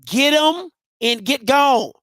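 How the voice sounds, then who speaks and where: A high-pitched man in his thirties hosts a live call-in show, talking bluntly and emphatically. He swings from quiet asides to shouting, and the sound is dry and close. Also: expressive, slow, no echo. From Maryland. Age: 30s. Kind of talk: casual advice talk to listeners.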